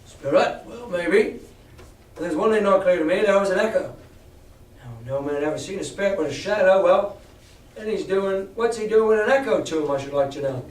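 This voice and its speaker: A man's voice: high voice, fairly quick, lightly acted. Man doing a blustering old pirate captain. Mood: defiant. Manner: blustering, rough seaman's talk. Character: defiant old one-legged pirate captain, scornful. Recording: echoey room, far from mic.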